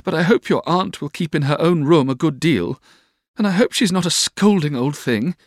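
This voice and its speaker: A man's voice: fairly high pitch, animated, normal speed, no echo, studio quality, pitched above the performer's own voice. A man voicing a childish, timid young Victorian sweetheart in an audiobook, coaxing and easily frightened. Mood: coy, anxious. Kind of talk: audiobook character voice.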